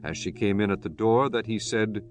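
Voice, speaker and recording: Low-voiced solemn male scripture narrator. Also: normal speed. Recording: music bed behind the voice.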